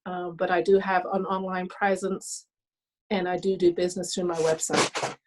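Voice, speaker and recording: A woman's voice: on the low side, flat delivery, normal speed, noisy background. A middle-aged woman from England, a hat designer, introducing herself matter-of-factly on a video call.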